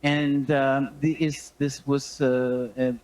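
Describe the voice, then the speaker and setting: Halting man in his fifties giving testimony. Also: mid-range voice, little pitch movement, a little mellow, a little hiss or hum, rough recording. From Iran. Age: 50s. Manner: hesitant, halting, earnest. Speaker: public speaker giving testimony. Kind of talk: personal testimony.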